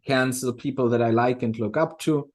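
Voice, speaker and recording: A man with a mid-pitched voice talks in a casual, conversational way. He is reflective and halting, often doubling back to restart a phrase before he finishes the thought. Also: fairly even intonation. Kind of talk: casual discussion.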